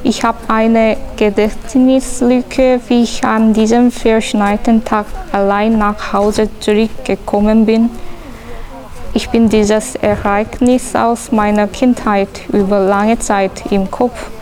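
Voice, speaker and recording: Female artist, Korean accent, fairly high voice, measured scripted presentation, unhurried. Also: little pitch movement, darkish tone, rough recording. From South Korea. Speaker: artist presenting own diploma work. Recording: faint background noise.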